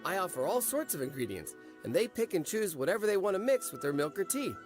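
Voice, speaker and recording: Young stall owner in a game, eager, earnest, high, lively voice, faint music. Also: male voice, fast talker. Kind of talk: video game voice acting.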